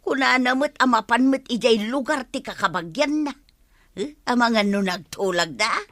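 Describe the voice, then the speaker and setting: A stubborn village woman who grumbles in a high, bright voice with an Ilocano accent. The delivery is radio comedy drama, recorded dry with no room around it. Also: normal speed, no echo. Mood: complaining.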